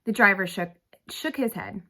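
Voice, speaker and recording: Bright-voiced woman reading a story aloud. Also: medium pitch, expressive, normal speed. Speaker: read-aloud narrator. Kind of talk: storybook narration read aloud.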